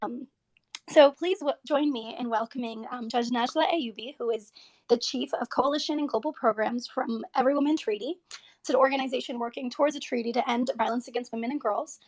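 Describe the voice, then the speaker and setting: A woman's voice: on the high side, fairly quick, darkish tone, low-quality recording. A woman hosting an interview podcast, introducing the show and its guests eagerly, with frequent ums and restarts. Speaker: host.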